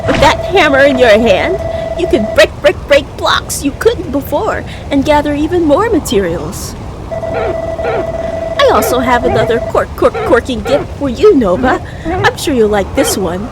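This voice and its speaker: A woman's voice: high-pitched, very animated, unhurried, over loud music, low-quality recording. A woman voicing a kindly old spirit guide who stutters and repeats words with grandiose cheer in a video game. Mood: grandly pleased.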